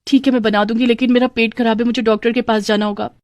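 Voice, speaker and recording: A woman's voice: on the high side, little pitch movement, fast, darkish tone, no room sound, pitched above the performer's own voice, close to an everyday voice. Long-suffering young daughter-in-law, female narrator acting, cartoon story, distressed, pleading delivery. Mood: desperate pleading. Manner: complaining, pleading.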